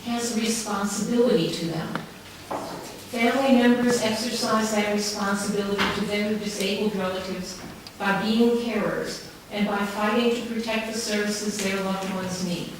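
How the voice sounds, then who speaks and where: A very reverberant, noisy recording from some distance away carries a woman's voice. She addresses a council meeting as a campaigner, earnest and measured, with emphasis on her key words. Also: medium pitch, fairly even intonation. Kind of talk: prepared public speech and answers to questions. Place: large town hall meeting room. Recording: very echoey hall, distant mic, clear background noise.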